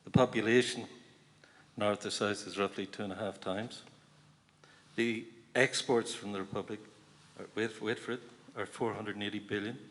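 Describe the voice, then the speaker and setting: A man's voice: lowish voice, steady tone, moderate pace, a bit bright. A man speaking from the floor at a public meeting, listing figures point by point. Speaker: audience member. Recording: long hall reverb.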